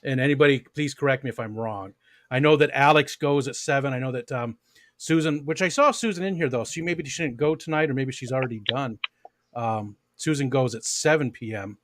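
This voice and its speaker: A man's voice: mid-range voice, fairly quick, fairly bright tone, dry recording. A man hosting a casual livestream chat, rambling and friendly, with plenty of fillers. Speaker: livestream host.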